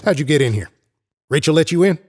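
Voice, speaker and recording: A man's voice: fairly high pitch, animated, fast. A man playing a brusque, impatient, weary special agent in an audio drama, curt and skeptical. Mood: curt, irritated.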